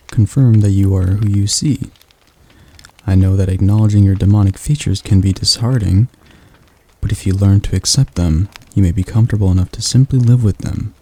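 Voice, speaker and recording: A man voicing a patient demon magic tutor in an ASMR roleplay, talking slowly and softly as he coaxes his student through a spell. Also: on the low side, slightly rough, low-quality recording. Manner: slow, soft, coaxing instruction.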